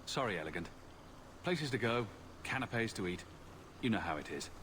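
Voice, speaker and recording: An actor playing a sardonic, self-assured adventurer hero with dry, joking delivery in a video game. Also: male voice, lowish voice, lively intonation, moderate pace, fairly bright tone, a little hiss or hum. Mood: wry. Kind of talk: video game dialogue acting.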